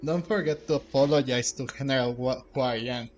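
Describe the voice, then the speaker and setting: A man's voice: medium pitch, normal speed, muffled recording. A man streaming a game, giving casual commentary in short remarks as he reads and reacts. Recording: faint game music behind.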